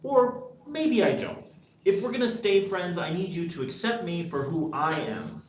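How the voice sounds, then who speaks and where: A man playing an idealistic but troubled male high school senior in a screenplay table read, earnest and argumentative. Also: lively intonation, measured pace. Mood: frustrated. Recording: dull, echoey room with background noise.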